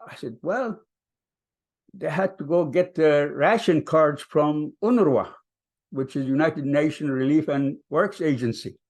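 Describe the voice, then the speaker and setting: An older man from Palestine, telling his family story at a vigil in a soft, hesitant way with many ums. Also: fairly high pitch, unhurried. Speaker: vigil speaker. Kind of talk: personal storytelling.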